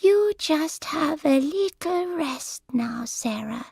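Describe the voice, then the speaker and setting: A woman reading the part of a young carer who speaks gentle, apologetic broken English, pitched higher than her narration. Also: expressive, slow, creaky, dry recording, clean studio sound, heavily acted. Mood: polite.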